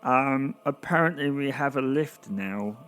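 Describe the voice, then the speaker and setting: A man's voice: medium pitch, measured pace, fairly bright tone, clean tone, faint music behind, voice pushed up, close to an everyday voice. A man voicing a timid little male clerk at heaven's gate in an audio drama, hesitant and mumbling. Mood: nervous, flustered.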